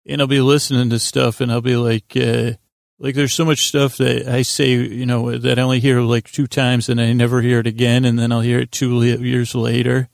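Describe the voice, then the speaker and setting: A man with a gravelly, creaky voice hosts a sleep podcast in a close, dry recording. He speaks in lulling tones at a middling pitch. His monologue wanders and halts, gently poking at himself as it goes. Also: flat delivery, dry recording. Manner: meandering, halting, gently self-deprecating. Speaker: podcast host.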